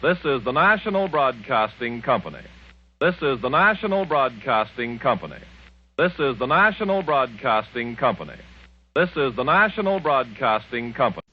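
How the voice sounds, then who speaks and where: Animated male network radio announcer. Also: medium pitch, normal speed, faint music behind. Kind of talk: formal network identification. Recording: dull old radio recording.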